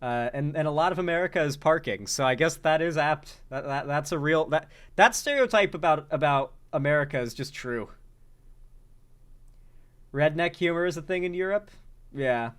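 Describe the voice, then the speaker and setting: A man hosting a casual commentary channel, reacting to a video in a conversational way with excited outbursts. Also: on the high side, normal speed, smooth. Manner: conversational, exclaims in excited bursts.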